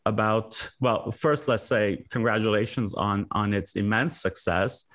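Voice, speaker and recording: A male radio host interviewing guests live, speaking haltingly with many restarts and fillers. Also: fairly low pitch, fairly bright tone. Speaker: radio talk show host.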